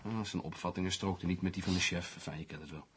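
A man's voice: sits low, fairly even intonation, fast talker, fairly bright tone, a little hiss or hum. An actor in a radio play playing a teasing, hard-drinking newspaperman with a pompous, mock-poetic way of talking. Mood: jovial, needling.

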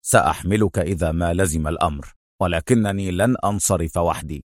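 A man voicing a stern, stubborn military officer hero in a story, speaking in a firm, measured, wry way. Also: low-pitched, expressive, no echo, clean studio sound, pitched below the performer's own voice.

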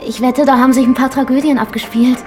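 An actress playing a naive, romantic young daughter in a radio play, wide-eyed and gushing. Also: female voice, high-pitched, fairly even intonation, quick pace, mellow, faint music behind, lightly acted. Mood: dreamy.

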